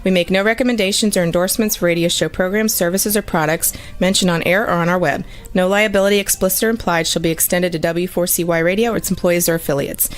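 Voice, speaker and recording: A woman reading a formal station disclaimer in a brisk, businesslike way. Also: on the low side, fairly even intonation. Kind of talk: read legal disclaimer.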